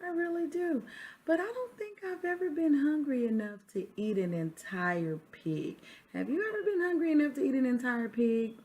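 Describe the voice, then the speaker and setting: A woman's voice: high-pitched, expressive, moderate pace, darkish tone. A woman teacher chatting to children during a read-aloud, often putting questions to her listeners. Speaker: sixth grade teacher reading aloud.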